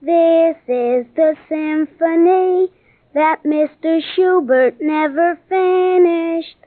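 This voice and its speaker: A young schoolboy in a vintage radio play, with a very high voice. He is literal, stubborn and matter-of-fact, heard close and dry on a muffled old recording. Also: extremely slow, no room sound, full character voice. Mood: earnest reciting. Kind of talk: old-time radio comedy-drama acting.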